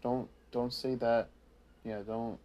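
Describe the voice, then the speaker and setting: A woman's voice: rumbling low, level tone, slow, dark tone. Teasing young woman podcast co-host. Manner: joking, teasing, self-deprecating. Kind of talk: casual chat.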